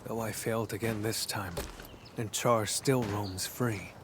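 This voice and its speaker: Over clear nature ambience comes a brooding warrior from a video game, acted by a man. His voice is on the low side and very slow. He speaks formally and intimately, with solemn, earnest devotion. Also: fairly even intonation, noisy background, natural voice. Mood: hushed, reflective. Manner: measured, formal, hushed and intimate. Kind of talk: video game story dialogue acting.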